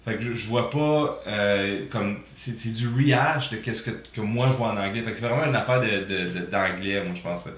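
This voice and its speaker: A man's voice: lowish voice, normal speed, few pauses, a bit bright. A man from Ontario co-hosting a podcast, chatting casually and hesitantly, often repeating words as he thinks. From Ontario, Canada. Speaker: podcast co-host. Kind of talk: casual chat. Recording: echoey room, faint background noise.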